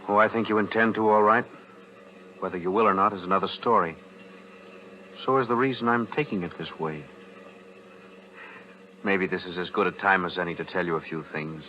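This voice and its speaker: An actor playing a composed, principled lawyer with measured, firm delivery in a 1940s radio thriller. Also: male voice, deep voice, steady tone, lightly acted. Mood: calm resolve. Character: composed, principled male lawyer, quietly resolute. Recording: muffled, noisy vintage radio broadcast.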